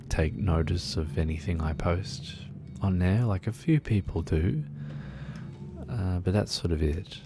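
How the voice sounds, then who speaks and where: A man streaming a game, chatting in a soft-spoken, soothing, rambling way with lots of fillers. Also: sits low, vocal fry. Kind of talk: relaxed casual chat while gaming.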